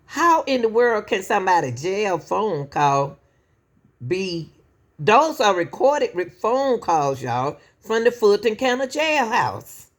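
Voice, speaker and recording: A woman giving casual opinion commentary as a channel host, slow and preachy, often calling out to "y'all.". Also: mid-range voice, sing-song.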